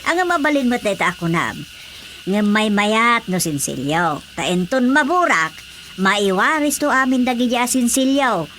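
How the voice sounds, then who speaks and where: A woman with an Ilocano accent playing a lively, eager older village wife in a radio comedy drama, full of drawn-out exclamations. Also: on the high side, a little hiss or hum.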